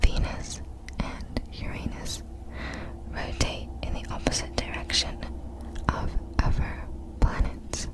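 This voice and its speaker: Slow whispering ASMR woman. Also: rough recording. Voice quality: breathy, unvoiced whisper. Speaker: ASMR video creator. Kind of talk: ASMR whispering, slowly reading out facts.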